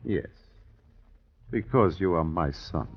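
A man's voice: low voice, animated, slow, vocal fry, husky, exaggerated voice. A man playing a pleading, tender old father in old radio drama style. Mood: tender, overcome. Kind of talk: 1950s radio drama acting. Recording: dull vintage radio broadcast.

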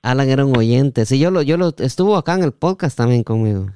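A man hosting a casual interview, halting and repeating words as he forms his questions. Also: mid-range voice, expressive, dark tone, smooth, no echo, clean studio sound. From Guatemala. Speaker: podcast host.

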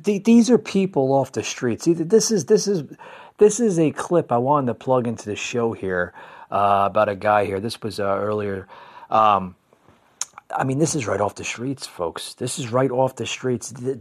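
An American man from New Jersey hosting a conservative podcast, giving unscripted, opinionated commentary in a halting, repetitive way and addressing listeners as folks. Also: mid-range voice, lively intonation. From New Jersey, United States.

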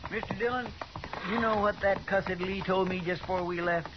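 An actor playing a loyal, talkative frontier deputy in a 1950s radio western, folksy, rustic and deferential. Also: male voice, high-pitched, steady tone, normal speed, close to an everyday voice. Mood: chatty, amused. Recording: dull, noisy 1950s radio recording.

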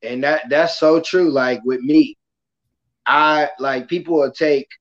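Guy hosting a podcast, passionate, gets loud, slightly high voice, muffled lo-fi sound. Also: steady tone, slow pace. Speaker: podcast host; kindergarten school worker. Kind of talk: casual podcast conversation.

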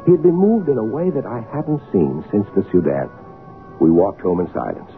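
Gravelly, low-voiced radio drama narrator. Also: male voice, wildly swooping pitch, faint music behind. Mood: reflective, grave. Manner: measured, dramatic storytelling. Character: thoughtful male friend of a painter, recounting his story. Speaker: narrator and supporting character. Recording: tinny old radio recording.

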